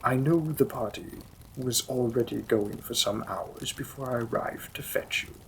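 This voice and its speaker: A man playing a possessive demon king and devoted lover in a romantic fantasy audio roleplay, often dropping his breathy voice to a whisper. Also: mid-range voice, normal speed, slight background noise, natural voice, rough recording. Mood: tender, concerned.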